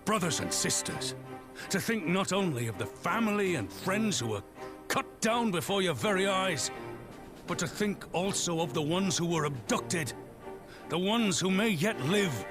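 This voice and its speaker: A man's voice: high voice, exaggerated voice. A man playing a solemn, commanding resistance leader in a video game, giving formal, impassioned oratory. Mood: impassioned, rallying. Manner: stately, formal, impassioned rhetorical delivery. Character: solemn, commanding male resistance leader rallying an angry crowd.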